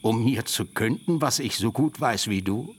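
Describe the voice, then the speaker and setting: A crafty old patriarch, smug and preachy, medium-pitched, radio play. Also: male voice, lively intonation, moderate pace, slightly rough. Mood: mocking. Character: cunning older man, pompous legalistic uncle and father-in-law. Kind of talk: stylized literary radio drama.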